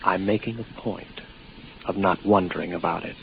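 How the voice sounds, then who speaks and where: A man's voice: low voice, steady tone, normal speed, vocal fry. An actor playing a lonely, weary spaceman with a detached, wry, hesitant musing manner, in a 1950s radio drama. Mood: dryly amused. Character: weary lonely spaceman stationed on Pluto, doubting his senses. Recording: noisy muffled 1950s radio recording.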